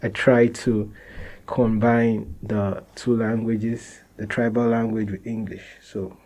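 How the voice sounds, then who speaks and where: A young man with a Nigerian accent speaks at an unhurried, slow pace. His voice is dark and mellow, and he answers casually, restarting phrases and chuckling now and then. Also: on the low side. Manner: relaxed, repeats and restarts phrases, laughs softly. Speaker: interviewee, musician.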